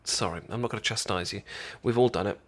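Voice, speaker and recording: A British man playing a caring, chatty best friend in an intimate ASMR roleplay, talking casually with halting, stammering explanations. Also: lowish voice, noisy background, lightly acted. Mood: gentle, reassuring. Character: caring, chatty male best friend teaching sushi making.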